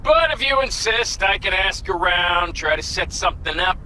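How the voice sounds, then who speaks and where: A flamboyant underworld fixer man for a video game. His voice is high and piercingly bright, garrulous and slangy, with theatrical flair. Faint noise sits behind him. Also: lively intonation, moderate pace, heavily acted, rough recording. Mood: chatty, colourful. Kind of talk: animated video game acting.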